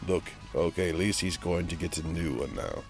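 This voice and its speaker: A man's voice: low voice, soft background music. A man playing a pompous, easily exasperated narrator who mocks a painter in a theatrical, mock-dramatic DIY voice-over. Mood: weary, sardonic. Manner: theatrical, pompous, drawn-out repetition.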